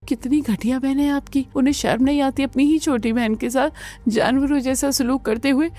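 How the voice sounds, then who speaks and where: A woman's voice: high voice, steady tone, normal speed, warm tone, close to an everyday voice. A woman voicing a protective, spirited young sister-in-law with bold, emphatic delivery in a cartoon family melodrama. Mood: indignant.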